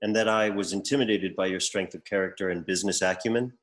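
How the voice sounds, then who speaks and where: A man playing a pompous, deadpan executor who reads out bequests in a comedy script table read. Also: low voice, fast talker, lightly acted. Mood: formal, solemn. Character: pompous male executor reading out an eccentric bequest.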